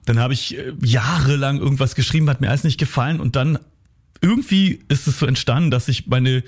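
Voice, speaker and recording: Man, hoarse, middle-aged, self-mocking, chatty radio guest. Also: medium pitch, brisk. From West Berlin, Germany. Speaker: guest author and comedian. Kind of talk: casual interview chat. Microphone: studio microphone.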